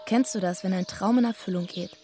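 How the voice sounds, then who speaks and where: A woman's voice: mid-range voice, a little fast, dark tone, natural voice. Woman acting confiding young woman over faint music. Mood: reflective. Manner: colloquial, confiding. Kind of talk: radio drama monologue.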